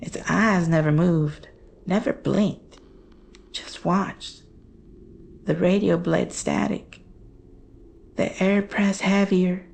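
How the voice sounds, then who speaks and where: A woman playing a haunted daughter recounting a horror story in hushed, halting, measured phrases. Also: on the low side, steady tone, vocal fry. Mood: haunted, dread. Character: Jane, haunted woman recounting her family's monster encounter. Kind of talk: slow scripted horror storytelling.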